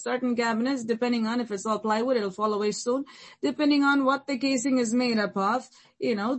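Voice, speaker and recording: Husky-voiced woman pastor preaching. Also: a little high. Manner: repetitive, emphatic preaching with building lists. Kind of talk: sermon.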